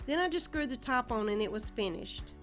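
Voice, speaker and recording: A woman's voice: a little high, lively intonation, a little fast, fairly bright tone, soft background music. A woman with a Southern American accent narrating a home DIY project in a friendly, step-by-step way. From Southern United States. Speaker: DIY channel host. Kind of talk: instructional voice-over narration.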